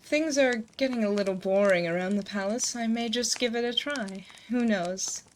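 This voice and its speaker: A woman playing an ancient succubus demon queen, motherly and gently teasing, in a hushed, soothing, intimate ASMR roleplay, close to a binaural mic. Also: on the high side, moderate pace, close to an everyday voice. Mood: warm, teasing. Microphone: close binaural 3Dio microphone. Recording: close binaural mic, noticeable background noise.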